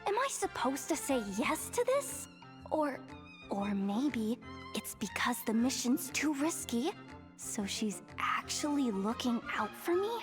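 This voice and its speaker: Eager, high-voiced, flustered young underling. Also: female voice, slow, over music, exaggerated voice. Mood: confused, anxious. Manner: stammering, nervous, excitable. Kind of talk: animated video game voice acting.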